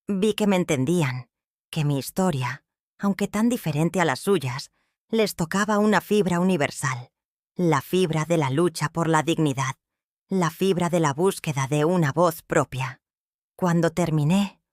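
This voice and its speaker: An older woman with a Mexican accent narrating her own life story in a slow, heartfelt, reflective way. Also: deep voice, no room sound, clean studio sound. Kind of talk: first-person storytelling narration.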